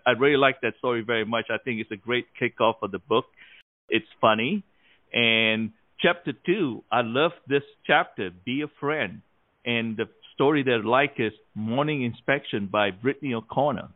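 A man from Malaysia hosting a conversational interview, enthusiastic and quick to laugh. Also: medium pitch, no echo. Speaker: host. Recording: dry tinny phone-like line.

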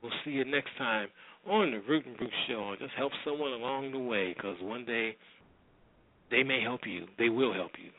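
A man speaks as a radio show host in a casual, conversational style, with an ordinary mid-pitched voice. The sound is narrow and tinny like a phone line, with very little room around it. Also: normal speed, no echo. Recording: thin phone-like band-limited sound.

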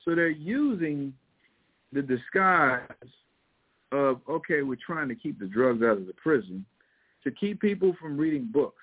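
Midwestern male host, husky voice, tinny phone-quality audio, chatty and emphatic, talks right to the audience. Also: on the high side, expressive, a little slow. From Missouri, American Midwest. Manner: conversational, emphatic, keeps addressing listeners directly. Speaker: show host and priest. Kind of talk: casual news commentary with scripture talk.

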